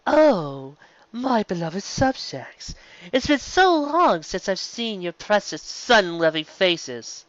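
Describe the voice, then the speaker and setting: A man voicing a haughty, evil alicorn mare villain with a grandiose, mocking, drawn-out delivery. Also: high-pitched, very animated, a bit bright, no echo, dull audio, pitched above the performer's own voice, exaggerated voice. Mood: mocking, imperious.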